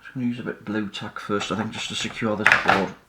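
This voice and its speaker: A man's voice: on the low side, fairly even intonation, fast talker, heavy background noise. A man giving casual hands-on repair commentary, tentatively thinking aloud as he works. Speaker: host of an electronics repair channel. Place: indoors at a repair workbench. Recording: roomy, echoey indoor sound.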